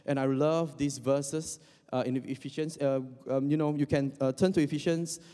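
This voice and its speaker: A man's voice: on the high side, fairly even intonation, moderate pace, mellow. A young man from Indonesia giving a casual, joking sermon, full of fillers and restarts. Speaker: preacher. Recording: long hall reverb.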